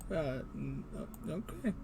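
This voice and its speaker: A man's voice: on the high side, big pitch swings, takes it slowly, vocal fry, noisy background. A man hosting a casual livestream, reading the chat aloud in a joking way and laughing often. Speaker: stream host.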